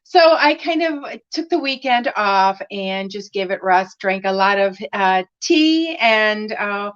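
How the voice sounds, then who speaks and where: Woman craft host, unhurried and chatty, a little raspy after laryngitis, dull lo-fi stream audio. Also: mid-range voice, animated. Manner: chatty, greets viewers by name, many fillers. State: recovering from laryngitis, slightly raspy at times. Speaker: craft demonstrator hosting a live stream. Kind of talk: casual live-stream chat with viewers.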